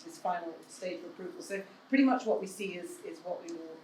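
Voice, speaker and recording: A woman talks earnestly on a live panel with a low voice, often pausing on fillers. She holds a handheld mic, and the room rings with noticeable echo under a faint ambient hum. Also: a little mellow. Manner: earnest, measured, with many hedging fillers. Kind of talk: panel discussion. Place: event room with an audience.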